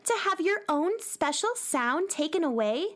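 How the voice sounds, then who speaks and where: A woman's voice: high voice, animated, unhurried, a bit bright. A woman playing a kind, earnest young girl heroine in a children's audio drama. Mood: sympathetic.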